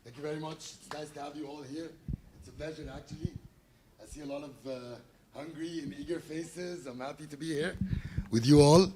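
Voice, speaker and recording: A man from Egypt, a guest speaker, giving friendly welcome remarks in a loud voice with some ums and uhs. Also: on the high side, fairly even intonation, fairly quick, background noise.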